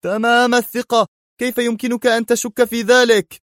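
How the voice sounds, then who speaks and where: A man voicing an explosive, furious foreign art collector who shouts in a high-strung way. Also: flat delivery, normal speed, dry recording, studio quality. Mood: angry, agitated.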